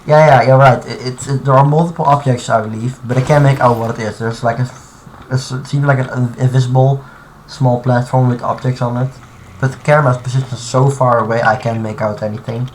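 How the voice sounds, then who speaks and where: A man at his computer, narrating a live stream in a loose, halting way, stopping and restarting as he puzzles over what he sees. His voice sits in the middle range, and clear background noise and keyboard typing run under it. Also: mid-range voice, steady tone, rough recording. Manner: thinking aloud, halting, many fillers and restarts. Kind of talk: casual live-stream commentary while modding.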